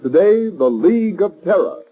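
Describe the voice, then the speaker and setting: A man announcing in formal, measured 1930s radio style, with emphatic scripted sales delivery. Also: sits high, very animated, over music, poor audio.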